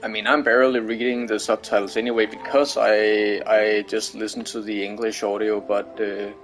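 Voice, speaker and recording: A man's voice: lowish voice, little pitch movement, moderate pace, fairly bright tone, faint music behind. A man from Denmark with a Danish accent, commenting on a game he is playing, hesitant and full of fillers. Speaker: streamer. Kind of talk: let's play commentary.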